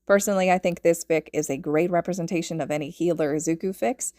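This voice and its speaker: A woman reads fiction aloud as a podfic narrator. Her voice sits a little low, and her delivery is scripted and expressive. The sound is very dry, with no room on it. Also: quick pace, no room sound.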